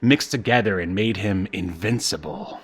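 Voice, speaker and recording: A man co-hosting a podcast, chatting casually about television in halting, hesitant phrases. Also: low-pitched, breathy edge. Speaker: podcast co-host. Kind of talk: casual chat about a TV show.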